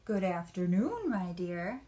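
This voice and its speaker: A woman voicing a sly, greedy male wolf from a children's storybook, smooth-talking and falsely courteous. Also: fairly low pitch, big pitch swings, takes it slowly, warm tone. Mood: charming, coaxing.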